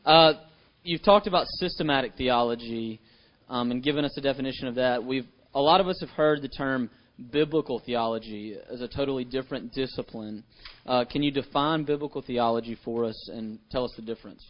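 A man's voice: medium pitch, a little fast, dull audio. Hesitant man posing questions. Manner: hesitant, many fillers and restarts. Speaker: interviewer. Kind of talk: asking prepared questions.

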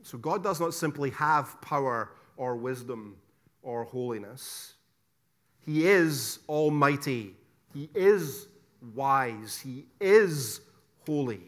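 A middle-aged Scottish man giving a theology lecture, stressing key words loudly, dropping to quiet asides and asking rhetorical questions. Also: a little high. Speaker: preacher teaching theology.

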